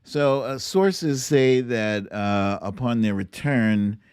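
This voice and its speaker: A man's voice: mid-range voice, slow, a little mellow, a little hiss or hum. A man hosting a casual livestream, chatting and reacting to clips in a playful, theatrical way. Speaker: host of a live online show.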